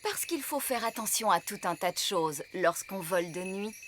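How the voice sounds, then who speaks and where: A woman voicing a bossy fairy nanny who works as a tooth fairy, brisk, theatrical and admonishing, in a dubbed children's cartoon. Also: medium pitch, animated, fairly bright tone, a little hiss or hum, close to an everyday voice. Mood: matter-of-fact.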